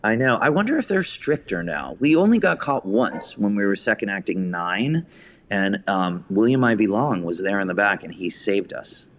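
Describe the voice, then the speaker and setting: Low-voiced American man in conversation. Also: expressive, moderate pace. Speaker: podcast guest, theater writer and director. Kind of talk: reflective interview conversation.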